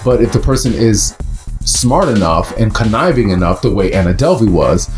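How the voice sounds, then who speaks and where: Low-voiced man rambling casual commentary. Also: lively intonation, normal speed, a little mellow, soft background music. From United States. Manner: rambling, many fillers and restarts. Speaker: host.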